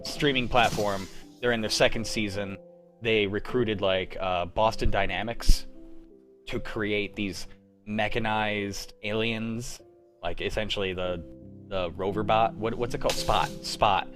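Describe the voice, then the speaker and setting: A man's voice: lowish voice, steady tone, measured pace, music in the background. A young man hosting a livestream, chatting casually and rambling with lots of fillers. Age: young adult. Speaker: show organizer and host. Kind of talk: casual wrap-up chat on a livestream.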